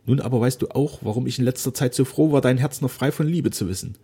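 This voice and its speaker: A man's voice: medium pitch, dark tone, slightly rough, pitched above the performer's own voice. A man voicing a dying remorseful old duke. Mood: moved. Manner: solemn, grave and measured.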